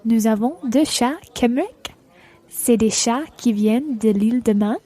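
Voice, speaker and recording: A woman's voice: fairly high pitch, measured pace, warm tone. A woman playing a friendly visitor who is learning French, in a scripted language-learning radio play.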